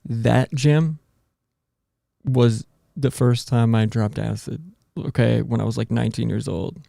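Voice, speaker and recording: A man's voice: mid-range voice, fairly even intonation, unhurried, gravelly, dark tone, no echo, studio quality. A man co-hosting a podcast, cutting in eagerly with his own stories. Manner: eager interjections, cuts in. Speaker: podcast co-host. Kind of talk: casual podcast talk.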